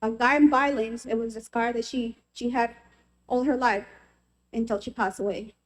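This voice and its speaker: Woman from el salvador, testifying, heartfelt and earnest, kinda high voice. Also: fairly even intonation, moderate pace, low-quality recording. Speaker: member of the public testifying. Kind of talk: personal testimony at a legislative hearing.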